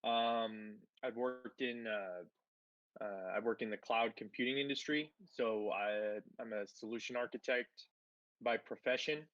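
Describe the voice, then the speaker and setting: A man's voice: mid-range voice, a little slow, a bit bright, no echo. Man, livestream guest, rambling with fillers, muffled lo-fi audio. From born in Spain, grew up in Texas. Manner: rambling, many fillers and restarts. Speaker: guest, tech entrepreneur. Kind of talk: casual livestream interview.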